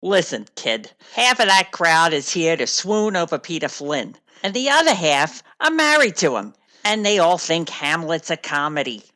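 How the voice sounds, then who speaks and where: Wry stage manager, lowish forceful voice, sardonic, world-weary, brisk, radio play. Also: female voice, sing-song. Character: wry, jaded, no-nonsense female stage manager.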